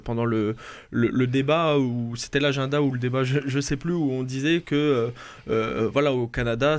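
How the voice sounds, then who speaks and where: A man's voice: medium pitch, clear, resonant tone. A man hosting a sports talk show, often repeating himself as he frames long questions. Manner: stumbling, repeats words while framing questions.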